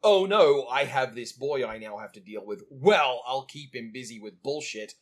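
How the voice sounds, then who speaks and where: A man co-hosting a casual podcast about an animated film, talking in a chatty, enthusiastic way. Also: mid-range voice, moderate pace. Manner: chatty, enthusiastic, acts out quotes. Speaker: podcast co-host.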